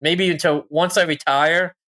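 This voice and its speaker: A man's voice: a little high, steady tone, normal speed, pushed and bright. A man from Thailand chatting casually as a podcast guest, telling personal stories as a falconer. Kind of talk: casual personal storytelling and chat.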